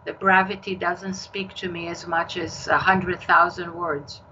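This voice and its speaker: Slow-spoken Israeli woman, bright voice. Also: fairly low pitch, little pitch movement, highs cut off, a little hiss or hum. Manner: slow, measured storytelling with occasional restarts. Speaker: novelist guest. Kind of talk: interview conversation.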